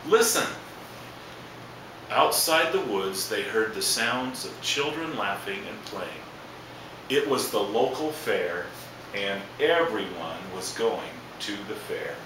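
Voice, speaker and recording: A man's voice: on the low side, expressive, slow. A man reading a children's storybook aloud as a storyteller, adding asides. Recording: echoey, distant, noisy room recording.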